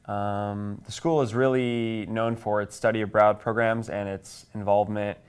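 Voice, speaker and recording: Young American man, very clear, lowish voice. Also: little pitch movement, normal speed. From Kansas, United States. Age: 20s. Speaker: interview guest. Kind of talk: conversational interview answers. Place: small TV studio, indoors. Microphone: lapel mic.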